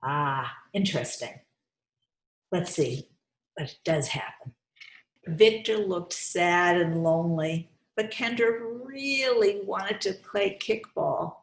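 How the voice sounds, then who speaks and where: A woman with a slightly low voice reads a children's story in a roomy, echoing space. She takes her time, stressing words expressively and drawing young listeners in with questions and encouragement. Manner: expressive, coaxing, emphatic, pauses to question listeners. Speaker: children's author reading aloud. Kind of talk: interactive storybook read-aloud for young children. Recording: roomy, echoey sound.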